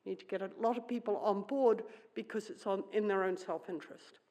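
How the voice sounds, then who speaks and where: A woman's voice: mid-range voice, fairly even intonation, fairly quick, mellow. Middle-aged woman giving a conversational keynote. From New Zealand. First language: Swedish. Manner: conversational, wry, thinks aloud with frequent fillers. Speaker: keynote speaker. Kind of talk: conference keynote.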